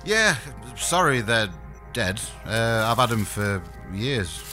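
A man's voice: mid-range voice, big pitch swings, slow pace, sharp tone, faint music behind, heavily acted. A man playing a bashful, apologetic space engineer who stammers awkwardly in a sci-fi audio comedy.

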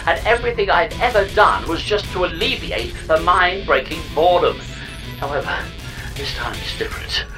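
An actor as lively, smug robot pod companion. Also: mid-range voice, lightly acted, poor audio. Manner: deadpan, wry, understated. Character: smug, sardonic artificial pod companion, chatty and blasé. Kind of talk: scripted comic sci-fi audio drama. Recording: music under the voice.

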